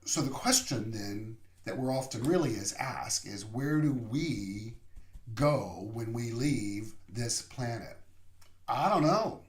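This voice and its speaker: A man giving a slow, reflective sermon as a minister, pausing often between phrases. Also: medium pitch, animated. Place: room, via online service. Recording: roomy echo.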